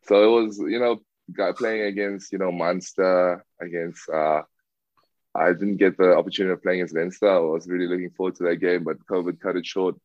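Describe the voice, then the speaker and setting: Brief: young South African man, very low voice, hesitant rambling, dry dull lo-fi sound. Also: flat delivery, fairly quick, darkish tone, dry recording. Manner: hesitant, many fillers and restarts. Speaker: rugby player guest. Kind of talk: casual interview answers.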